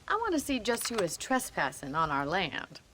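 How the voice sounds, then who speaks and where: An actress playing a spirited, teasing young American frontier ranch woman in a video game, brisk and wry. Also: medium pitch, wildly swooping pitch, bright, forceful tone, slight background noise. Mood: friendly. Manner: brisk, wry, plain-spoken. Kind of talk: video game cutscene acting.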